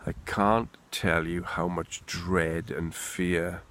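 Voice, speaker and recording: A man's voice: low voice, steady tone, slow, fairly bright tone. A Welsh man rambling candidly and swearing freely in an unscripted monologue, recorded outdoors in the wind. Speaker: vlogger.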